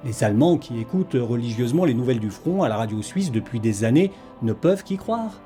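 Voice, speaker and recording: Guy doing a scripted history documentary voiceover, mellow voice, music playing underneath. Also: medium pitch, expressive, fairly quick. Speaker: documentary narrator.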